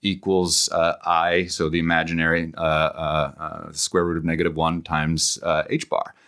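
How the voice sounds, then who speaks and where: A man speaking as an interview guest, explaining ideas conversationally in a halting, searching, scholarly way with frequent self-corrections. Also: a bass voice, monotone, fairly bright tone. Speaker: guest author.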